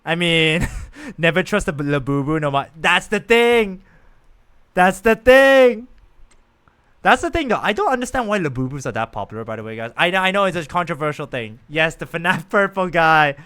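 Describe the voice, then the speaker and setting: A man's voice: a little high, sing-song, moderate pace, fairly bright tone, smooth. A man streaming a game, chatting casually and rambling to his viewers. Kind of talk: casual gameplay chat.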